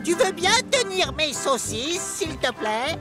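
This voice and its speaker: A woman's voice: high-pitched, expressive, takes it slowly, over loud music. A woman voicing a teasing, comically insistent female puppet-show performer in a children's cartoon dub.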